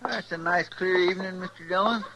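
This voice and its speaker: A simple, chatty frontier sidekick with a rural Western American drawl. His voice sits a little high, on a noisy old radio western recording. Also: a little fast. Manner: drawling, folksy.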